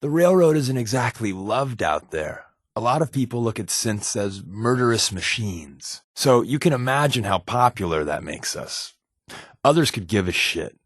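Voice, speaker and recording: A man's voice: mid-range voice, very animated, normal speed, husky, no room sound, clean studio sound. A man playing a wisecracking, secretive undercover agent in a video game, with a wry, glib, conversational delivery. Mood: wry, teasing, then blunt, serious. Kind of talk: video game companion dialogue.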